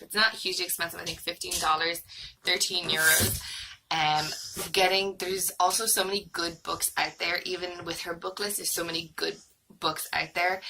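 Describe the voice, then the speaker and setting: A woman's voice: on the low side, creaky, sharp tone, a little hiss or hum, poor audio. An Irish woman in her thirties giving a casual, hesitant, rambling review, like a homeschooling mom vlogging.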